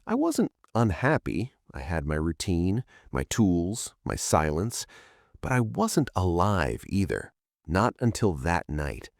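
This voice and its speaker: A man reading a scripted first-person story in a measured, dramatic way, in short phrases. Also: deep voice, huge pitch swings, gravelly, no room sound, clean studio sound.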